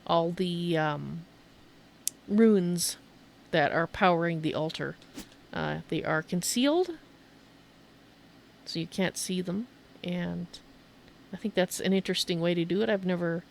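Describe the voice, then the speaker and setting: A woman's voice: fairly low pitch, a little slow, vocal fry, pauses often, a little hiss or hum. A woman streamer giving casual video game commentary, rambling and thinking aloud as she goes.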